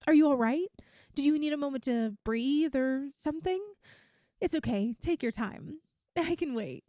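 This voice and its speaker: A woman plays a young yandere girlfriend in an intimate ASMR roleplay, sweet, clingy and obsessive. Her voice is high and mellow, recorded very dry. She chats coyly to the listener, halting and stretching words for emphasis. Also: no room sound, natural voice. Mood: sweetly doting. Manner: coy, chatty, halting with drawn-out emphasis. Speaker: audio roleplay performer.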